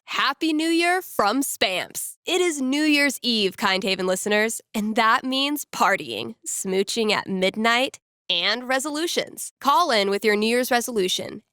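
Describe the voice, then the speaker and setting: A woman's voice: on the high side, fairly bright tone, no room sound. Young female game character, small-town radio DJ, wry, playful, teasing asides, conversational delivery, dry close sound. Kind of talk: casual local radio patter in a video game.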